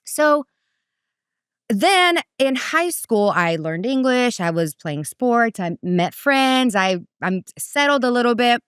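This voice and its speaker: Brazilian-born woman, smooth animated voice. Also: takes it slowly, no echo, studio quality. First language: Portuguese. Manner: animated, voice jumps high for quoted asides. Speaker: guest, parenting coach. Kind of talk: casual conversational storytelling.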